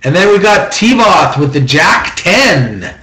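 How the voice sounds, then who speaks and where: A man with a slightly high voice hosts a card draw at a tabletop. He takes his time, announcing each step in a methodical, repetitive pattern. The small room around him adds an audible echo. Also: sing-song, slow pace, pushed and bright. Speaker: host of a trading-card box-break auction. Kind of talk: running card-deal commentary. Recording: roomy echo.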